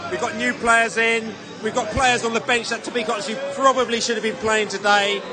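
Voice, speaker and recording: A man's voice: very high voice, flat delivery, fairly quick. A man hosting a fan podcast, chatting and joking with supporters outside a stadium after a match. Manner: chatty, jokey, leading questions. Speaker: podcast host interviewing fans. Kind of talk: casual vox-pop chat. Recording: crowd noise outdoors. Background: crowd of departing fans.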